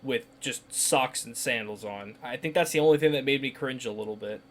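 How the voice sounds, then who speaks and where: A man's voice: medium pitch, brisk. A man giving casual let's play commentary as a gaming YouTuber. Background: faint game music.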